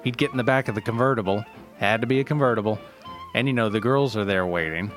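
A man's voice: fairly low pitch, quick pace, a bit bright, soft background music, natural voice. A man playing an exasperated elderly race director, rambling and grumbling conversationally through a reminiscence in an acted interview. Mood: exasperated reminiscing.

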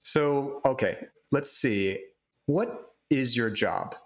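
A man's voice: medium pitch, lively intonation, slow. A male podcast host leads the interview with eager, friendly questions. He sets out topics in a chatty, conversational tone.

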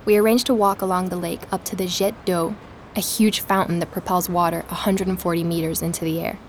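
An actress playing a podcast host, narrating in a calm, reflective way for an audio drama. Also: female voice, on the low side, brisk, slight background noise, close to an everyday voice. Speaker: podcast narrator and investigator Emma.

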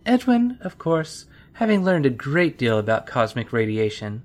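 A woman's voice: a bass voice, very animated, normal speed, darkish tone. A woman playing a prim, exacting scientist in an audio drama, speaking formally and precisely with dry superiority. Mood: brisk, formal.

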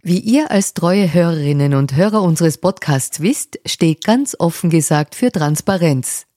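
Sehr tiefe, warme Frauenstimme, trocken aufgenommen. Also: female voice, fairly low pitch, lively intonation, unhurried, no echo, studio quality. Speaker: podcast presenter reading a sponsor message. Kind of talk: scripted ad read.

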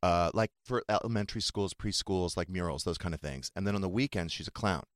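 A man's voice: deep voice, fairly quick, no room sound. A man hosting a talk show, chatting casually in a dry, matter-of-fact way. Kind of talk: casual chat.

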